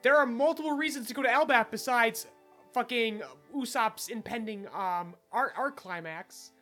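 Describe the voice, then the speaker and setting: A woman's voice: a little high, normal speed, fairly bright tone, soft background music. A woman co-hosting a casual fan podcast, talking in an emphatic, playful way and swearing freely. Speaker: podcast co-host.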